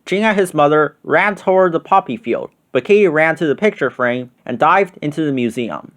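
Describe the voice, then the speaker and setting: Male storybook narrator, slightly higher-pitched than average, expressive read-aloud for children. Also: moderate pace. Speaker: storybook reader.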